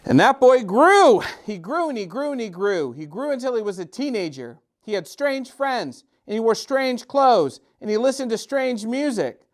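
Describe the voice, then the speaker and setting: A middle-aged man reads a picture book to children expressively, drawing out repeated phrases with gentle, dramatic emphasis. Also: very high voice, sing-song. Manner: gentle, drawn-out, sing-song repetitions with dramatic emphasis. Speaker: storytime host and children's author.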